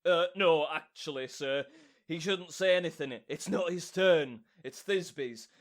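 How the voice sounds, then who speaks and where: A man's voice: a little high, lightly acted. A man playing a melodramatic amateur actor who hams up a tragic lover in an overwrought audio drama style. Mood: explaining. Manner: overwrought, drawn-out. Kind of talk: theatrical audio drama acting.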